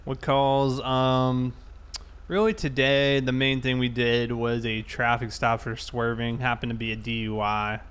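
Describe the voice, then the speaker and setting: A man chatting casually and thinking aloud in a halting way as he livestreams a police roleplay game. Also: medium pitch, steady tone, a bit bright, clear, resonant tone.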